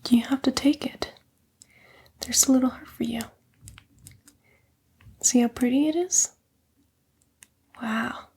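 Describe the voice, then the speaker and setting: A woman voicing a sly female demon in a whispered, breathy ASMR roleplay, coaxing and taunting the listener in a casual way. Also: high-pitched, steady tone, takes it slowly, pauses often, darkish tone, exaggerated voice. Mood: coaxing, teasing.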